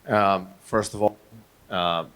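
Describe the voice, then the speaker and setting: Low, flat man, English, hesitant panelist. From Croatia. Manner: halting, many fillers, non-native phrasing. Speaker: panelist, fintech marketer. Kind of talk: live panel introduction. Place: conference stage.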